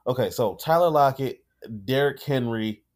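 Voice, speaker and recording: A young man co-hosting a casual sports podcast, speaking calmly and softly, with some hesitation. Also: mid-range voice, normal speed. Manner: calm, soft-spoken, a bit hesitant. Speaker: podcast co-host. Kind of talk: casual fantasy football chat.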